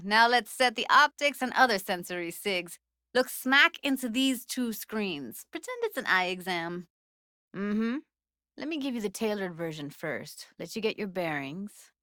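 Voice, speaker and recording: A woman's voice: medium pitch, fairly bright tone, no echo, clean studio sound. A woman playing a guarded, streetwise young technician in a video game, speaking in a casual, slangy, blunt way. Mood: brisk, instructive. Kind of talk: naturalistic video game voice acting.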